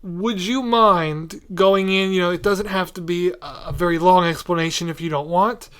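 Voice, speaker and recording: A man's voice: sits high, steady tone, moderate pace, a bit bright, clear, resonant tone. A man hosting a casual interview, asking in a polite, tentative, roundabout way. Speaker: podcast host.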